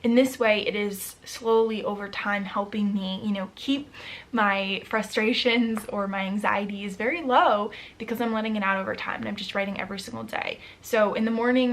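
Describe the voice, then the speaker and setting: A young woman vlogging casually to camera, chatty and upbeat, her voice a little hoarse from overuse. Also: mid-range voice, fairly even intonation, moderate pace. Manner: chatty, upbeat, with frequent fillers. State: slightly hoarse, losing her voice. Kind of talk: casual vlog talk to camera.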